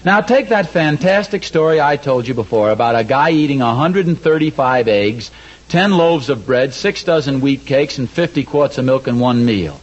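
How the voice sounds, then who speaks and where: An actor playing a fussy, mildly pompous and exasperated husband in a 1940s radio comedy. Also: male voice, mid-range voice, expressive, moderate pace, close to an everyday voice. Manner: earnest, fussy explaining. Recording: dull vintage radio recording.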